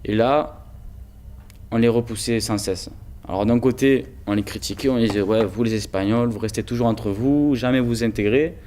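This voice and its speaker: A man's voice: medium pitch, normal speed, dark tone, slight background noise. A teenage boy telling his grandfather's story in an earnest, hesitant way. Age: teens. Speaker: student telling family history. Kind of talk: personal testimony, documentary voice-over.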